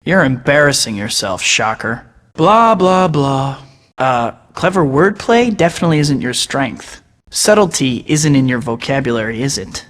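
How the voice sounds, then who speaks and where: A man's voice: mid-range voice, expressive, measured pace, fairly bright tone, in a large hall. An actor voicing a young male superhero who tosses out sarcastic taunts in a video game. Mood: mocking. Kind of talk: game voice clips.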